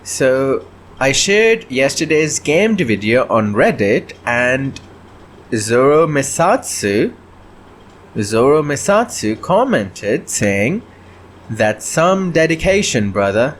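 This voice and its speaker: A man chatting casually and haltingly as he thinks aloud while working on his indie game devlog. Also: mid-range voice, very animated, a little hiss or hum. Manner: halting, often clearing throat.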